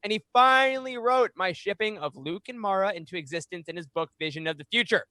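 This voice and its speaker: Man, thirties, high-pitched, podcast host, animated delivery, close mic, dry sound. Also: fairly quick, no echo. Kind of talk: reading aloud and hosting a fan podcast. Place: small home room, indoors. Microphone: close studio microphone on boom arm.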